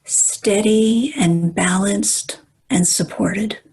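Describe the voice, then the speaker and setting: A woman guiding a meditation in a soft, breathy voice, calm and soothing, with measured phrases and pauses. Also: mid-range voice, poor audio. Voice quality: soft, breathy, at times near whisper. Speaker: meditation guide. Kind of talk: guided meditation.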